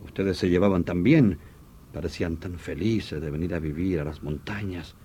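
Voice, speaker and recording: An actor playing a shocked, disbelieving brother-in-law, grave and stammering, in the dramatic style of old radio horror. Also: male voice, sits low, moderate pace, dark tone, a little hiss or hum, close to an everyday voice. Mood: shocked disbelief.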